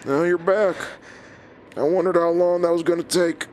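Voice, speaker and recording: An actor playing a calm, menacing inhuman villain in an audio drama, with a deliberate, taunting manner. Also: male voice, fairly high pitch, a little hiss or hum, natural voice. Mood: smug. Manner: slow, deliberate, controlled, taunting.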